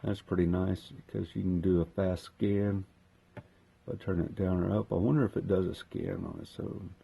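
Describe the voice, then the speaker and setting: A man giving a casual hands-on demonstration, thinking aloud in an unhurried way as he handles the gear. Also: deep voice, steady tone, creaky, warm tone, slightly rough. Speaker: host of a gear unboxing and testing video.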